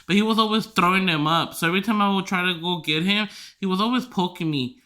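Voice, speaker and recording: A man hosting a podcast who tells rambling stories loudly, swearing freely and filling his talk with "like". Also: sits high, fast. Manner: loud, swears freely, filler-heavy rambling. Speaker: podcast host. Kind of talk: casual storytelling chat.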